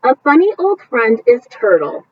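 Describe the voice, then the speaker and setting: Female teacher, bedtime read-aloud, very expressive voice, stops to comment on the pictures, dull lo-fi sound. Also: on the high side, husky, dry recording, recorded from a distance. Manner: animated, pausing to point out pictures to young listeners.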